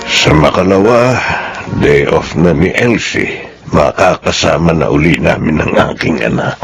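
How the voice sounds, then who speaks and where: A man's voice: rumbling low, vocal fry, over music, exaggerated voice. An actor in a Filipino radio drama playing a humble, devout older father who talks in a fatherly, caring way. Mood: fond, content. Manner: unhurried, fatherly.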